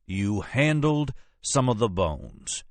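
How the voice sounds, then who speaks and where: Southern FBI agent, audiobook, male narrator acting: low, courteous, officious, coolly manipulative, close dry sound. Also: expressive, normal speed, no room sound. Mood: stern. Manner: courteous, turning sharp and officious.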